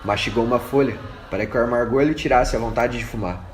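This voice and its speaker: A man's voice: mid-range voice, quick pace. A man reading scripted narration for an amateur radio drama. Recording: echoey room with faint noise.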